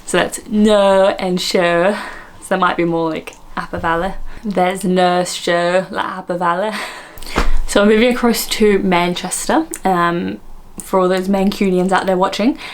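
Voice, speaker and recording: Australian woman vlogger, low-ish nasal voice, chatty and self-mocking, light hiss. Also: fairly even intonation, measured pace. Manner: giggly, self-deprecating, chatty with many fillers. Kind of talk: casual chat attempting accent imitations.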